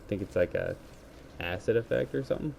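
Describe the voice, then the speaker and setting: Male gamer, low mellow scratchy voice, rambling play-by-play into a headset, faint hiss behind. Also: quick pace, creaky. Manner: rambling, self-correcting play-by-play. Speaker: gaming video creator. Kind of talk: let's play commentary. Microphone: gaming headset.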